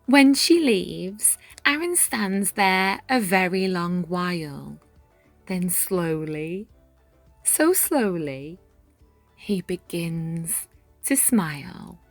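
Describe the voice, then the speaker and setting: A woman reading a rhyming children's story aloud in an expressive, measured way, with dramatic pauses and hushed moments. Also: on the low side, dry recording. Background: background music.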